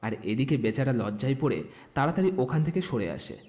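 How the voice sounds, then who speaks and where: A man narrates a scripted audio story. His voice is mellow and medium-pitched, with very expressive rises and falls. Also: a little fast.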